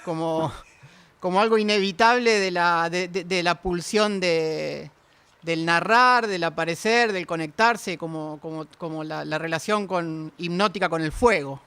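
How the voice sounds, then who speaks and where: A man's voice: sits high, a little slow, bright, forceful tone, clean tone. A man asking questions conversationally, hesitant and groping for his words. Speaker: interviewer.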